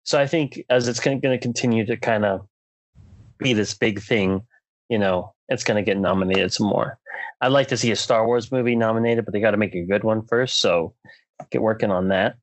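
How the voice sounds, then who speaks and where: A man's voice: on the low side, fairly quick, no echo, low-quality recording. A man co-hosting a podcast in casual chat, talking in an informal, opinionated, joking way. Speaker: podcast co-host.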